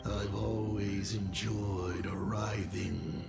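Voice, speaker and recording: Gravelly, sly masked villain. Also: male voice, fairly low pitch, flat delivery, over loud music, full character voice. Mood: smug, taunting. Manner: smug, unhurried, taunting. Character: sly, theatrical masked male villain in a game. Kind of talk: dramatic game voice acting.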